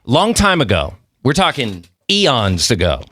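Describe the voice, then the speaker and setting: A man from Mexico hosting a podcast in a studio, chatty, animated and slangy in casual interview talk. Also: mid-range voice, a little slow. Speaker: podcast host.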